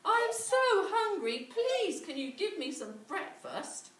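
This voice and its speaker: A woman's voice: very high-pitched, sing-song, takes it slowly, a bit bright, pitched above the performer's own voice, exaggerated voice. A woman voicing a bold young boy in a fairy tale, polite, cheeky and eager. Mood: polite pleading. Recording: echoey room, distant mic, faint noise.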